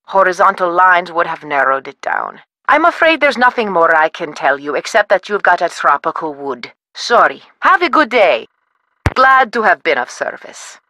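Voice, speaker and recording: A woman's voice: on the low side, sing-song, normal speed, bright, forceful tone. A woman voicing a polite, patient wood expert on a phone helpline in a video game, explaining step by step.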